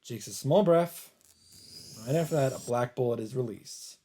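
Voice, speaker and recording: A man narrating a visual novel aloud as a let's player, in a measured, casual read-aloud delivery. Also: mid-range voice, dark tone, a little hiss or hum.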